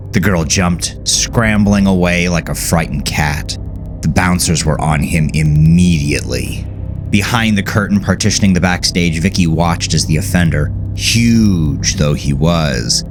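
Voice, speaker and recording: A man narrates a scripted horror tale in an extremely low voice. Music plays behind his reading.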